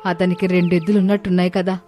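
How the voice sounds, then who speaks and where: A woman's voice: on the low side, flat delivery, racing, warm tone, close to an everyday voice. A woman voicing a distressed, loving mother cow in a storybook tale, lamenting and pleading. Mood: worried, resolute.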